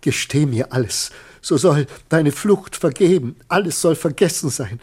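A man's voice: fairly high pitch, animated, a little slow, a little mellow, breathy edge. An actor in a German radio play, playing a rapturous, deluded man who effusively pleads with the creature he believes he made. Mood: pleading. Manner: effusive, theatrical, solemn, pleading. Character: rapturous, deluded man claiming his runaway scarecrow as creation.

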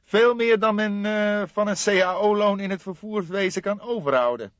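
A man's voice: sits high, normal speed, a bit bright, dry recording, muffled recording, voice pushed up. A man voicing an eager, stern young male police detective with a fierce, clipped manner in an audiobook. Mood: brisk, keen. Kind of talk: audiobook character dialogue.

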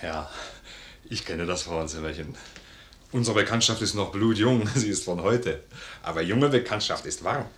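An actor playing a loyal, plain-spoken, stubbornly generous sergeant in a 1950s German radio play, hearty and earnest. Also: male voice, deep voice, normal speed, fairly bright tone. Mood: cheerful. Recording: roomy vintage radio studio recording.

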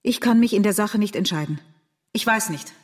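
A woman's voice: mid-range voice, brisk, lightly acted. An actress playing an indecisive woman, questioning and torn between two sides, in a German radio drama.

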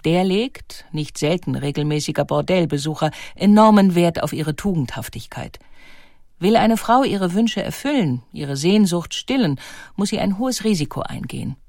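A woman reading scripted documentary narration in a measured, articulate way. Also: fairly low pitch, lively intonation, a little mellow, no echo, studio quality.

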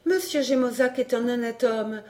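A woman plays a proud young lady in an audiobook drama. Her fairly high voice is earnest and dignified, that of someone loyal and resolute. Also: moderate pace, a little mellow, lightly acted. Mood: earnest resolve.

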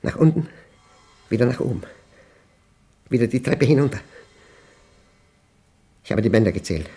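An actor playing a paranoid, tormented man reading his obsessive diary in a German radio play, husky and intense, in clipped, brooding fragments. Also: mid-range voice, expressive, moderate pace, dark tone. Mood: weary, anxious.

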